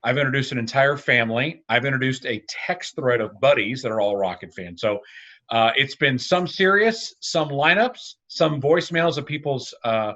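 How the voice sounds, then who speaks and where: Guy chatting sports, talkative and emphatic, really bright forceful voice, slightly dull lofi audio. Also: medium pitch, lively intonation, moderate pace. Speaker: radio and arena announcer guest. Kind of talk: casual sports discussion.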